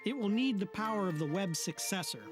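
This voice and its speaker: A man reads a documentary script as a science correspondent. His pitch swings widely as he explains. Loud music plays under his voice. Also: fairly quick. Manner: lively, explanatory delivery. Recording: narration over loud music.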